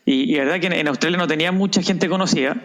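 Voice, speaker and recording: A man's voice: fairly high pitch, rapid-fire, fairly bright tone. A man with Spanish as his first language, guest on an interview podcast, speaking reflectively with frequent restarts and tag questions. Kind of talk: conversational interview.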